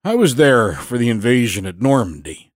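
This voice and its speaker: A man voicing a genial, boastful Navy veteran in his eighties for an audio storybook, folksy and orating, with drawn-out emphatic phrases. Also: mid-range voice, sing-song, dry recording, clean studio sound, close to an everyday voice. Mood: proud, reminiscing. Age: 80s.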